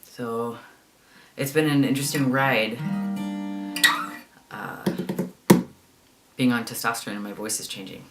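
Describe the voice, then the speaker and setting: A man's voice: medium pitch, a little slow, long pauses, loud music behind the voice, poor audio. A young man chatting casually in a video diary, rambling with plenty of fillers. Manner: rambling, chatty, lots of ums. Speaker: vlogger.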